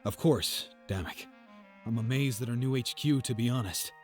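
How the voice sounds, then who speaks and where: A man's voice: medium pitch, normal speed, a little mellow, soft background music, natural voice. A man voicing an eager, respectful and curious rebel soldier in a visual novel.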